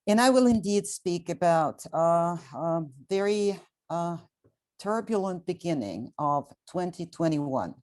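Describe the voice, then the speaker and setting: A middle-aged woman giving a measured, factual guest lecture over a video call, her voice hoarse, with frequent fillers. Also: on the low side, animated, a little mellow. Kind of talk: prepared lecture.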